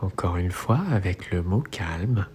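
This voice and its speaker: A man leading a guided relaxation meditation as an instructor, speaking in soothing, measured phrases. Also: sits low. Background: soft music.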